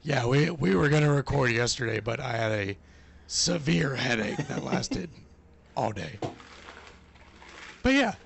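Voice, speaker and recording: A man co-hosting a podcast in casual chat, hoarse from losing his voice, talking in a relaxed, rambling way with fillers. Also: mid-range voice, measured pace, long pauses, background noise. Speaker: podcast co-host.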